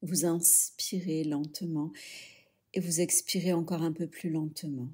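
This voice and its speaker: A woman leading a guided yoga relaxation, speaking softly and soothingly in short phrases with pauses. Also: deep voice, fairly even intonation, husky. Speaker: yoga nidra instructor.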